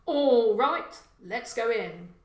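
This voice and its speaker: A woman's voice: a little high, lively intonation, very slow, a little mellow, pitched above the performer's own voice. Woman voicing a blunt burglar dad in an echoey room. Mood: brisk, instructing. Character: burly confident middle-aged male burglar father, brisk and contemptuous.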